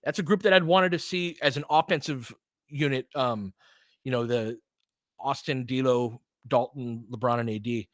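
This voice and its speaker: Male basketball play-by-play host, mid-pitched, informal fan-side delivery, excitable on big plays, very dry recording. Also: normal speed, no echo. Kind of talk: live basketball commentary and analysis.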